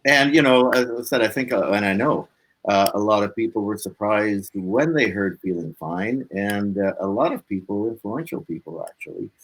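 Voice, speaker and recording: A man's voice: fairly low pitch, moderate pace, a little hiss or hum, low-quality recording. A man speaking as a musician guest in a casual interview, reflective and earnest in manner.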